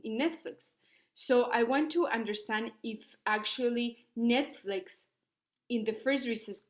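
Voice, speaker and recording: A young woman from Ecuador, giving an earnest academic presentation with many fillers and restarts. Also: on the high side. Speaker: student researcher presenting.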